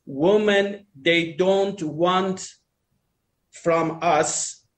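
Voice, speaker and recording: A man with a fairly high voice speaks English as a second language. He talks casually in a group chat, offering advice in a rambling, emphatic way and often repeating phrases. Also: fairly even intonation, very slow. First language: not English.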